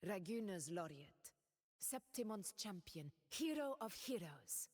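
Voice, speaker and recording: A solemn regal warrior ruler. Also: female voice, medium pitch, big pitch swings, unhurried, darkish tone, in a large hall. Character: proud noble woman ruler, steadfast warrior. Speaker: game character voice lines. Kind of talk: game voice lines.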